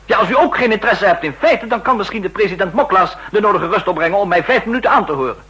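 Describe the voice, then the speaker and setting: An actor playing a driven counter-intelligence official, formal, pointed and emphatic, in a 1960s radio drama. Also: male voice, high voice, lively intonation, rapid-fire, sharp tone, exaggerated voice. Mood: insistent, biting. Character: driven, insistent male counter-intelligence official making accusations. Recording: rough old 1960s radio recording.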